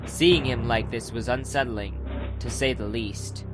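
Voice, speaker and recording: A man's voice: mid-range voice, a little slow, poor audio. A man narrating a fantasy adventure audiobook from a script. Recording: loud music bed under the narration.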